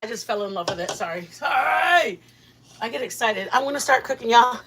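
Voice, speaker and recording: A woman hosting a casual live cooking stream from her home kitchen, excitable, rambling and quick to giggle. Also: a little high, slightly rough.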